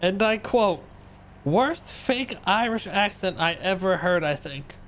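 A man's voice: sits high, lively intonation, takes it slowly, fairly bright tone, slight background noise. A man in his thirties laughing and ribbing a friend over voice chat, tossing in "dude" and "man". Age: 30s. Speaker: gamer on voice chat. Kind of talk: casual banter over voice chat.

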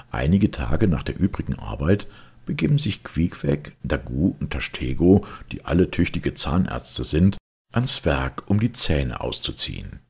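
A man reading a literary audiobook as narrator, in a measured, expressive storytelling manner. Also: rumbling low, gravelly, slightly rough.

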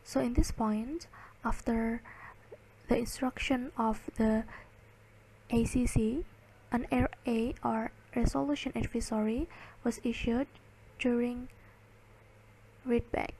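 A woman giving a slide lecture, reading and explaining in halting, word-by-word phrases. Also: a little high, fairly even intonation, darkish tone, breathy edge, rough recording.

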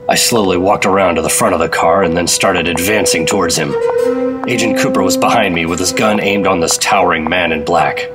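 Man acting a low-voiced hard-boiled 1950s agent, music behind. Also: brisk, lightly acted. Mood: wry, tense. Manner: dry, laconic storytelling. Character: hard-boiled 1950s government agent, wry and steady narrator. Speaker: lead actor narrating. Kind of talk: 1950s radio drama first-person narration. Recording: voice over prominent background music.

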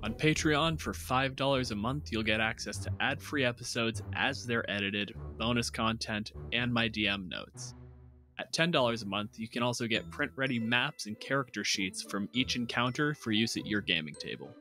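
A sardonic, deadpan man co-hosting as game master, with an exasperated edge to his casual banter. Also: medium pitch, moderate pace, faint music behind. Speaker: podcast co-host and game master.

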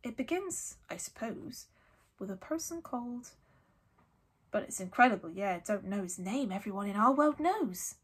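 A woman voicing a gruff, big-hearted giant of a man in a storybook, with folksy, blustering, sometimes halting speech. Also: medium pitch, expressive. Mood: anxious, hesitant. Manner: folksy dropped-word speech, halting when uneasy.

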